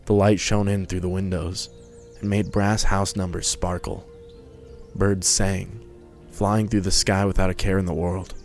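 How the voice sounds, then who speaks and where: A man's voice: sits low, darkish tone. A man narrating a scripted story as a dramatic reading.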